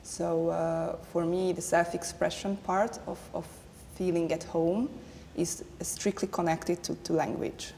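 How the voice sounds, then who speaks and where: Gravelly, flat-toned woman, native Hungarian. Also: on the low side, a little slow. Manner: earnest, steady, with frequent fillers. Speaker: panel guest. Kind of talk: panel discussion. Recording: long hall reverb, faint noise.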